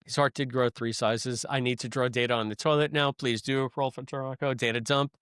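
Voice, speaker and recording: A man's voice: medium pitch, fairly even intonation, fairly quick, a bit bright, smooth, no echo, studio quality. A man co-hosting a podcast in a casual, chatty review style. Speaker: podcast co-host. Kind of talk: casual review chat with recaps.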